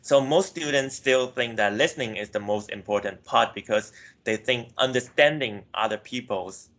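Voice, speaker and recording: A man's voice: mid-range voice, normal speed, a bit bright. A young man from Myanmar giving a class presentation, hesitant, with frequent self-corrections and filler words. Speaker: student presenter.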